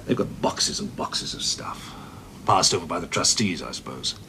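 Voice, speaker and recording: An actor playing a genial, measured British building manager, conversational and understated, in a 1970s television drama. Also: male voice, low voice, expressive. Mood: confiding. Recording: noisy 1970s television soundtrack.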